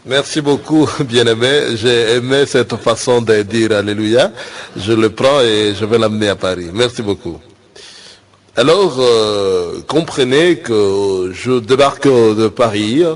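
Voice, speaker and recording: A man's voice: mid-range voice, unhurried, lightly acted. A man playing a pompous, easily exasperated visiting pastor in a comic sermon sketch, with an affected, hesitant delivery. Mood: self-important, hesitant. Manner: affected, hesitant, then indignant. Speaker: visiting preacher in a comedy sketch.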